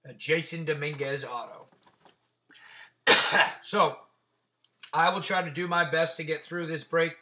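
A man with a raspy, sore throat hosting a casual card-breaking stream, chatting and swearing as he reels off player names. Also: on the high side, fairly even intonation, unhurried, pauses often, pushed and bright. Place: tabletop card-breaking setup indoors.

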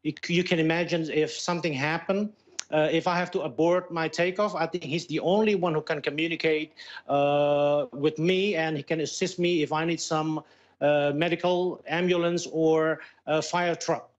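A man in his forties with an Indonesian accent, giving a halting interview as a guest, searching for words in English over a video call. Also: fairly high pitch, steady tone. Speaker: airline pilot, interview guest. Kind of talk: live news interview. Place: plain indoor room. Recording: dull, lo-fi Skype call.

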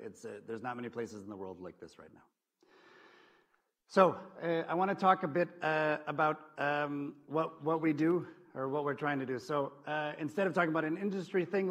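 A man giving a conference presentation on a lecture hall stage, casual and filler-heavy, often trailing off softly. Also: medium pitch. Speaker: conference speaker.

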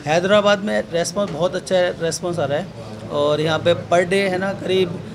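A man's voice: high-pitched, fairly even intonation, fairly quick, heavy background noise. A man giving promotional answers in an interview at an office opening, upbeat and salesy, speaking into a handheld mic. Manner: upbeat, salesy, opens with a long Islamic greeting. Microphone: handheld news mic held up close.

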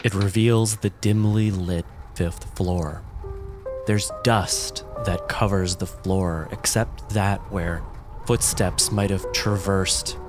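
Slow, low-voiced man narrating as game master. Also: fairly even intonation. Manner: measured, descriptive, dramatic storytelling. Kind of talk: atmospheric narration of a tabletop roleplaying game. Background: music.

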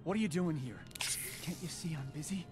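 An actor playing an edgy young junkie vault dweller in a video game, with slangy talk. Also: male voice, fairly high pitch, a little fast, music in the background, lightly acted. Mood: edgy, relieved. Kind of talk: video game character acting.

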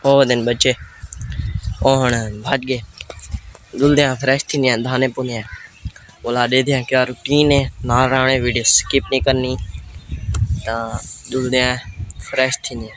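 A man's voice: mid-range voice, level tone, unhurried, long pauses, a bit bright. Young Saraiki man, noisy outdoor recording. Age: teens to young adult. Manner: informal, repetitive running commentary. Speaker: vlogger, host. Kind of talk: casual village vlog chat. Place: outdoors in village lanes, fields and walled yard. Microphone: phone at arm's length. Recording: noisy handheld phone recording.

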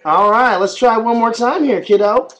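A man's voice: very high-pitched, moderate pace. A man in his thirties, a father talking playfully to his baby while filming a home vlog on a webcam. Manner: animated, singsong baby talk. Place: small room at home, indoors. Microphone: webcam at arm's length.

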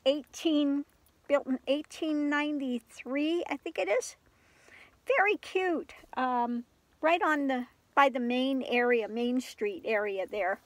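An older woman chatting casually into her phone inside a camper, stuffed up with a cold and clearing her throat often. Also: on the high side, dry recording. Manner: rambling, frequent throat clearing and soft laughs. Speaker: vlogger. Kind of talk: casual chat to camera. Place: inside a small camper van at night. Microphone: phone camera at arm's length.

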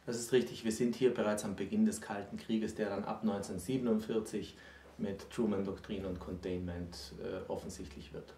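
A man in his forties, a historian explaining in a measured, factual, academic way during a conversation. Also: fairly low pitch, steady tone, mellow, slight background noise. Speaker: historian, regular guest expert. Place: small book-lined room, indoors. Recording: roomy sound, distant microphone.

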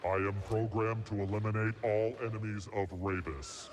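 A man's voice: lowish voice, level tone, normal speed, big-room sound, slight background noise. A man voicing a dutiful, literal-minded battle droid in a video game, stiff and formal through a robot filter. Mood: stern, deadpan. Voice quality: electronic, metallic. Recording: faint game ambience behind.

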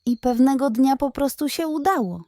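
A woman's voice: high-pitched, lively intonation, moderate pace, dark tone, dry recording, clean studio sound, voice pushed up. A woman voicing a small, young female seal in a children's bedtime story, dreamy, timid and easily discouraged. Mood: reflective.

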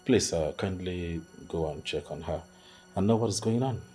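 An actor playing a calm, concerned husband in a film drama. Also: male voice, deep voice, normal speed, soft background music, natural voice.

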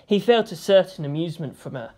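A woman's voice: on the low side, expressive, fast talker, warm tone. A woman reading fan fiction aloud as narrator, in a dramatic storytelling style.

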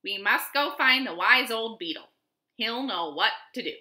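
A woman's voice: fairly high pitch, animated, normal speed, bright, forceful tone, echoey room. A woman voicing an earnest chief frog in a storybook, formal and commanding. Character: officious, earnest chief frog taking charge.

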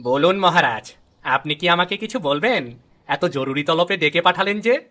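Man as a bright-voiced, loyal royal minister. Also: on the high side, lively intonation, moderate pace. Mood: questioning, respectful. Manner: formal, dutiful. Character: loyal, deferential royal minister serving the king.

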